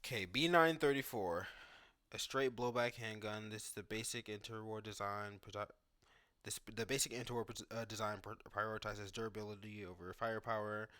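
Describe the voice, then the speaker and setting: A man's voice: on the low side, fairly even intonation, brisk, fairly bright tone. A man hosting a gaming video, giving casual commentary and thinking aloud in an informal way.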